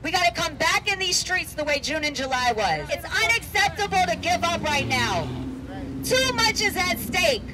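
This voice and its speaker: A woman's voice: extremely high pitch, animated, moderate pace, poor audio. A woman giving an impassioned, exhorting speech at an outdoor street protest. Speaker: protest speaker. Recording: street protest footage with music.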